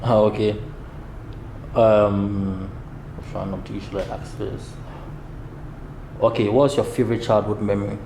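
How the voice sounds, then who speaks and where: A man asking questions off camera in a dry, matter-of-fact, low-key way. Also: level tone, unhurried, vocal fry, long pauses, mellow. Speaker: off-camera interviewer. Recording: echoey room with some background noise.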